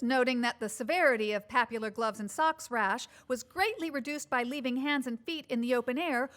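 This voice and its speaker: A woman playing a prim, uptight TV news anchor in a comedy sketch, formal and deadpan. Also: high voice. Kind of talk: scripted newsreading in a comedy sketch.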